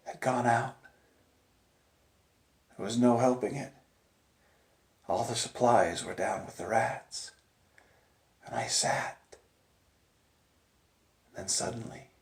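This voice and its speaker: A male storyteller performs a first-person tale with theatrical intensity. His voice sits high for a man and swings between hushed suspense and urgent, raised delivery. The sound is roomy, with clear echo.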